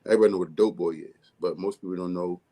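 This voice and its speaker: A man from with a deep, bass-heavy, mumbly voice, talking casually as a music artist guest in an interview. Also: fairly even intonation, racing, warm tone. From Baltimore, USA. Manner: mumbly, laid-back streetwise delivery.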